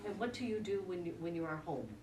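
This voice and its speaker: A woman's voice: fairly low pitch, fast, recorded from a distance, noisy background. Friendly woman hosting introductions. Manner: friendly, prompting questions. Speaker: group organizer and host.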